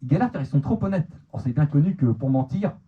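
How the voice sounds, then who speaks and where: A man's voice: fairly high pitch, expressive, fast, husky. A young man from the Manche region of France with a Normandy accent, doing a teasing stand-up set in a club and often restarting his words. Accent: Manche Normandy French. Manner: teasing, self-mocking, stammering restarts. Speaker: stand-up comedian. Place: comedy club stage. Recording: dull lo-fi club recording, noisy. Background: audience laughter.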